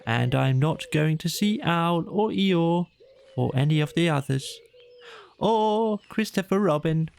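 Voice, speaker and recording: Guy doing a sleepy dreamy teddy bear, slow and gravelly, kinda sing-song, music under it. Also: fairly high pitch, animated, a little mellow, pitched above the performer's own voice. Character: gentle slow-witted male teddy bear.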